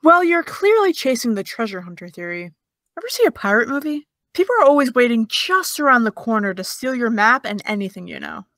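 A woman plays a young woman in an audio drama with a fairly high voice, recorded close and dry. She is smug and taunting, needling with sarcastic, teasing remarks. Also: sing-song, a little fast, fairly bright tone, no echo. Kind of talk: audio drama dialogue of a video call.

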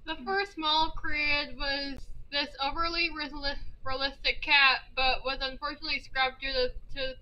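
A woman's voice: sits high, flat delivery, moderate pace, few pauses, highs cut off. A young woman describing her part of a student project, reading stiffly and stumbling on words. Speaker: student modeler and animator. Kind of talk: reading a class presentation. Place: indoor room.

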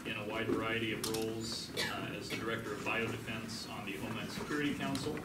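A middle-aged man hosting, reading a formal introduction from notes. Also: mid-range voice. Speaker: host introducing a speaker. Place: medium-sized lecture room, indoors. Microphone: far camera. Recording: noisy, echoey room, distant mic.

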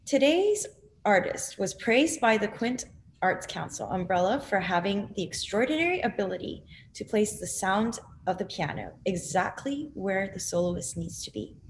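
A woman's voice: medium pitch, expressive, normal speed, a little hiss or hum. A young woman hosting a video interview, reading a welcoming introduction and then asking questions. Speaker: host. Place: home room indoors. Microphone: video call.

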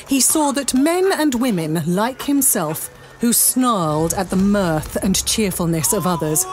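A woman narrating a dramatic scripted story in measured, deliberate phrases. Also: medium pitch. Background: music.

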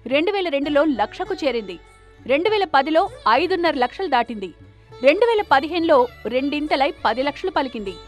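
A woman's voice: high voice, lively intonation, brisk. A woman reading scripted news narration as a reporter. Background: background music.

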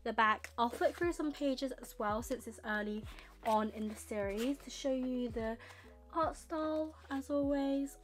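A young woman with a slightly husky voice from a tickly throat, rambling casually through a manga haul in her bedroom. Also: high voice, faint music behind. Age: young adult. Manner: rambling, chatty, quick asides. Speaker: manga vlogger. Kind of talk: casual chatty haul vlog. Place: bedroom with manga shelves, indoors.

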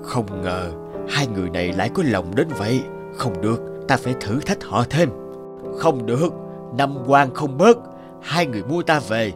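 A man's voice: mid-range voice, moderate pace, over music, close to an everyday voice. A man acting a stern old father. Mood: stern, testing. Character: stern old father, testing and finally kind. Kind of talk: Vietnamese animated folk tale dialogue.

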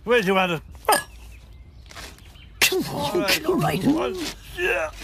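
A man voicing a grumbling, exasperated piano removal worker in a cartoon, with creaky, strained exclamations. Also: very high voice, big pitch swings, takes it slowly, very noisy, full character voice. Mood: straining. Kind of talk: animated cartoon acting. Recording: loud music behind the voice.

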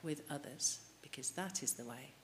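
A woman's voice: low voice, moderate pace. A middle-aged woman preaching a sermon at a church lectern mic, earnestly putting questions to the congregation. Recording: reverberant church hall, light hiss.

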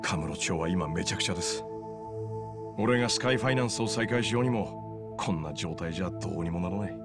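An earnest, loyal informant in his thirties from a video game, talking things through in a fairly low voice. He explains patiently, with music underneath. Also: brisk. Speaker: loan business owner. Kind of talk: video game cutscene dialogue. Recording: game audio with music behind.

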